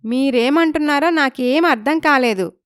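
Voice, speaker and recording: A woman's voice: sits high, normal speed, dry recording, studio quality, pitched above the performer's own voice, lightly acted. A woman voicing a meek, anxious young village wife in a story, timid and respectful. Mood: timid, confused.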